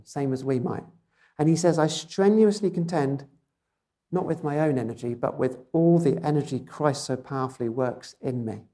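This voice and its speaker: Gentle, mellow-voiced preacher. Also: medium pitch. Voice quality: quiet, relatively weak voice. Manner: gentle, measured, with rhetorical questions to listeners. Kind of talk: sermon. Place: church. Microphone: microphone through a speaker system.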